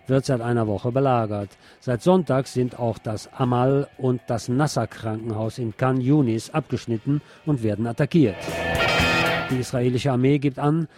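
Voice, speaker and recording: A man reads the news from a script in standard German pronunciation. His voice has a soft, mellow tone at a medium pitch, suited to a radio bulletin.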